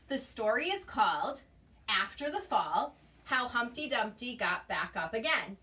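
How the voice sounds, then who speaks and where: A woman's voice: a little high, sharp tone. A woman introducing a bedtime read-aloud for a school, recorded at a distance from the mic.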